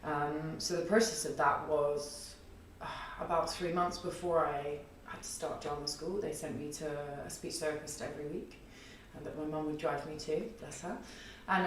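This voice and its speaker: A woman in her early thirties with a husky, low alto voice, telling a long story in a rambling, chatty way with many fillers in a podcast interview. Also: fairly bright tone, far from the mic, slight background noise. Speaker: guest, singer and theatre artist. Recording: echoey room, voice off-mic.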